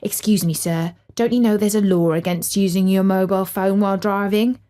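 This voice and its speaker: A woman's voice: mid-range voice, steady tone, fairly quick, mellow, natural voice. A woman voicing an officious, nitpicking policeman in a children's story, scolding with stiff politeness.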